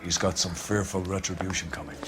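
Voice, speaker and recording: An actor playing a gruff, commanding older police captain in a video game, grandiloquent and menacing. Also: male voice, deep voice, level tone, brisk, natural voice. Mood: grim, commanding. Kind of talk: dramatic video game acting.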